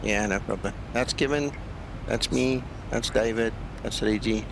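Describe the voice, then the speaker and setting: A man's voice: a little slow, creaky. A man who is a non-native English speaker chats casually and jokingly as he hosts a flight simulator livestream. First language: not English. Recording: constant loud rumbling engine-like noise. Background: aircraft cockpit noise from flight simulator.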